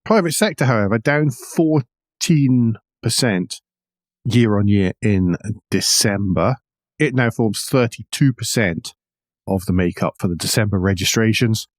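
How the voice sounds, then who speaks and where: Male podcast host for news commentary: low voice, measured, wry, tight dry sound. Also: expressive, no room sound.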